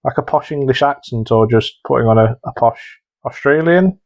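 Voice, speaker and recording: A man's voice: mid-range voice, normal speed, darkish tone, muffled recording. A man co-hosting a podcast, reacting and judging in a casual, chatty way. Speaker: podcast co-host.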